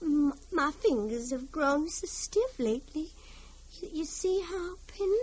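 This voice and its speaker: A woman's voice: high-pitched, expressive, darkish tone. An actress playing a haunted, fragile older mother in an old-time radio drama, theatrical, pleading and unhinged. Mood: plaintive, coaxing. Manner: theatrical, wheedling, drawn-out pleading.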